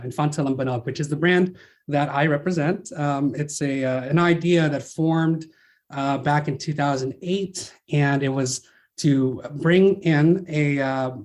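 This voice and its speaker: A man from the Philippines, guest on an interview podcast, with a voice a touch higher than most men's. He talks casually and thoughtfully, pausing on fillers and restarting his sentences. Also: a little mellow. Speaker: guest, brand founder.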